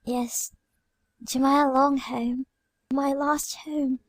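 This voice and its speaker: A woman playing a gentle, pious young girl who is dying, speaking softly and weakly in a dramatic audiobook reading. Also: high voice, drawn-out words, dry recording, studio quality. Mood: weary, gentle. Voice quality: soft, weak, breathy. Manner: calm, gentle, tender.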